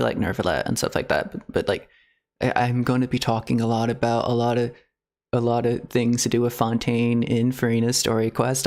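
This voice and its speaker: Creaky-voiced man chatting on a livestream. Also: mid-range voice. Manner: halting, rambling, giggly. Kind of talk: casual livestream chat. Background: video game music.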